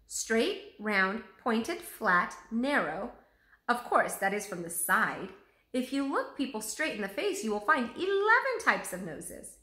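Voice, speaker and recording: Leonardo, a woman voicing an animated, eager male artist. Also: a little high, a little slow, heavily acted. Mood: eager lecturing. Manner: exclamatory, lecturing enthusiasm. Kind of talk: children's storybook read-aloud. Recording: roomy, echoing room sound.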